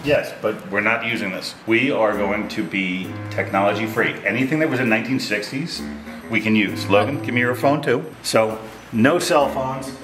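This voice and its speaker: Upbeat middle-aged dad in an echoey room. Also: male voice, fairly low pitch, normal speed, music in the background. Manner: jokey, upbeat, showman-like. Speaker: father. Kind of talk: casual chat. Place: underground bunker rooms.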